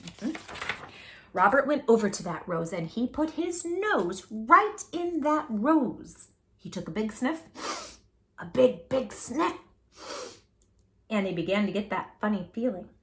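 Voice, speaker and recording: A woman reading a children's picture book aloud as a storytime host, theatrically, with drawn-out words and little asides to the listener. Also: a little high, very animated.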